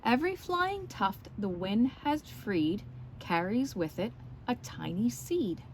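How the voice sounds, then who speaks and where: A woman's voice: a little high, big pitch swings, slow pace, a little hiss or hum. A woman reading aloud and showing things to young children, explaining as she goes and putting questions to her listeners. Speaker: children's story time teacher and reader. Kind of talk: read-aloud and show-and-tell for young children.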